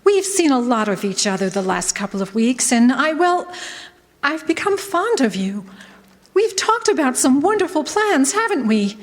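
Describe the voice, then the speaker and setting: Lady playing fed-up but fond girlfriend, old radio drama, high swoopy voice, echoey hall. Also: sing-song, moderate pace. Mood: tender. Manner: emotional, reproachful. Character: fond but exasperated practical sweetheart. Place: live performance on a college campus. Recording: reverberant hall stage recording.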